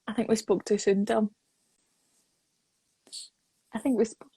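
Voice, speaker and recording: A woman's voice: medium pitch, level tone, unhurried, warm tone, husky, no room sound. A woman hosting a casual live interview in a chatty, encouraging way. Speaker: host, interviewer. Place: live social media video call.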